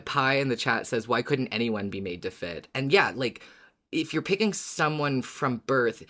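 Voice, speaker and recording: A woman with a very low-pitched voice hosts a casual fan podcast. She talks with keen enthusiasm and leans hard on words for emphasis. Her language is relaxed and sometimes sweary. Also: normal speed. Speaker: podcast host.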